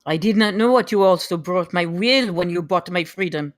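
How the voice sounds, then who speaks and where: A woman's voice: on the low side, normal speed, no echo, close to an everyday voice. A woman playing a proud, defiant noblewoman, speaking formally and with measure in a script table read. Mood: restrained. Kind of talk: live table read of a screenplay.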